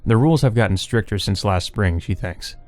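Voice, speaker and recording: Low-pitched man narrating a visual novel. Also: brisk, a little mellow. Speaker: let's play host reading a visual novel. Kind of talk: narration read aloud with brief asides.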